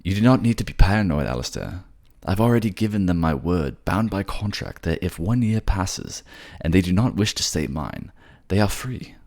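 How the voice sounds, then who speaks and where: Man voicing a lively, courtly young fantasy prince. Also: low voice, normal speed, gravelly, hardly any pauses, pitched above the performer's own voice, exaggerated voice. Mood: earnest, soothing. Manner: chatty, courtly, teasing. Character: kind, playful young prince, gently teasing, reassuring. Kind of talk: fantasy audio drama acting.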